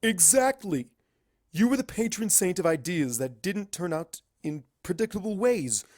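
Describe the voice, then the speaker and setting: A man's voice: high voice, animated, unhurried, darkish tone, dry recording, studio quality, voice pushed up. A man voicing a blunt, challenging male pony scholar in a fan fiction reading. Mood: insistent, challenging.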